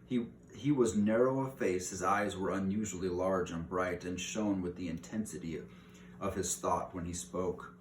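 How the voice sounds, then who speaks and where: A man's voice: low voice, fairly even intonation, moderate pace, distant mic. A man reading a children's book aloud as narrator. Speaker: story reader. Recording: roomy echo, clear background hiss.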